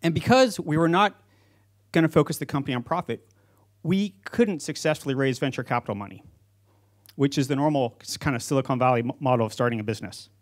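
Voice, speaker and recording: A man's voice: medium pitch, fast. A man in his forties giving a prepared conference speech as a company founder, speaking into a handheld microphone on stage. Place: stage in an auditorium with seated audience.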